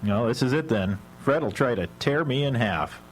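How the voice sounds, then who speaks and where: A man's voice: mid-range voice, lively intonation, moderate pace, faint music behind, natural voice, rough recording. A man playing an earnest, aggrieved middle-aged husband in a live radio drama. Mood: resigned. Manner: earnest, defensive. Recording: live radio broadcast with hum.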